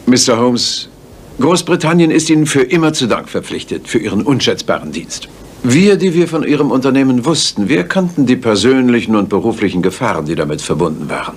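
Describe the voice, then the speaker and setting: Ein Sprecher, der in einem Hörspiel einen förmlichen, feierlichen hohen Regierungsbeamten spielt. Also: male voice, mid-range voice, sing-song, fairly quick, a little hiss or hum, close to an everyday voice. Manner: formal and ceremonious. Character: formal senior male government official. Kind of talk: German radio drama.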